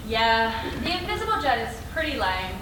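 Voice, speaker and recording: Girl doing gushy stuck-up superheroine in a stage comedy, high voice, recorded far off in a hall. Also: big pitch swings, a little fast. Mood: haughty, eager. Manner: gushing, haughty, projected to an audience. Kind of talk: amateur comedy stage play acting. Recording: echoey hall from far off, noisy.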